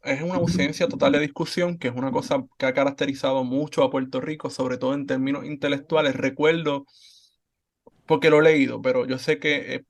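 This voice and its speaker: A man hosting a podcast interview, speaking earnestly and at a measured rate, with frequent fillers. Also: medium pitch, steady tone. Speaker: podcast host. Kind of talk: conversational interview.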